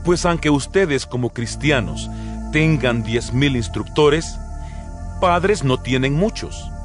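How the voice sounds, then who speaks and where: A man's voice: medium pitch, lively intonation. Solemn male apostle over music. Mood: earnest. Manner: solemn, measured, rhetorical delivery. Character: apostle Paul, earnest exhorting male letter writer. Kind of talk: dramatized Bible reading. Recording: music bed behind the voice.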